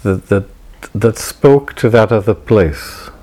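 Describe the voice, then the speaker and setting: A middle-aged man from Wales reflecting on his past in a halting, wry way, full of restarts. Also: lowish voice, warm tone. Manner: halting, many restarts and self-corrections, wry. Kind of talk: reflective personal talk.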